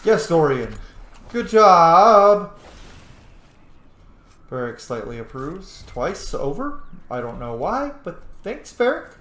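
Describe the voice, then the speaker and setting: A man doing casual gameplay commentary in an excitable, conversational, sweary way. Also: a little high, slow pace, pauses often, roomy sound. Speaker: gaming video host.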